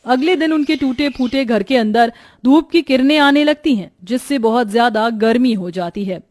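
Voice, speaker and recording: A woman's voice: on the high side, moderate pace, a little mellow, soft background music. A woman reading scripted narration for a children's moral story.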